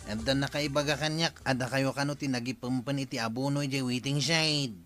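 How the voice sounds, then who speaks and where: An actor playing a dutiful, firm young village aide and nephew in an Ilocano radio comedy drama. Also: male voice, medium pitch, steady tone, a little fast, a bit bright, faint music behind, lightly acted.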